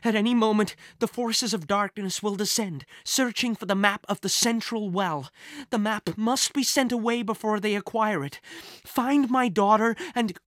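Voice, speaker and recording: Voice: mid-range voice, moderate pace, a bit bright, pitched above the performer's own voice, lightly acted. A storyteller voicing a frightened but defiant middle-aged woman archaeologist in an audiobook, urgent and dramatic. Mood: grave, urgent.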